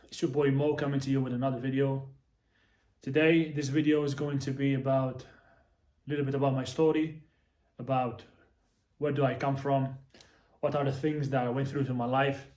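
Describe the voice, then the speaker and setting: A man's voice: mid-range voice, flat delivery, moderate pace, long pauses, darkish tone. A young man from Morocco telling his life story casually to the camera, repeating himself and swearing now and then. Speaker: vlogger, coach. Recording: echoey room.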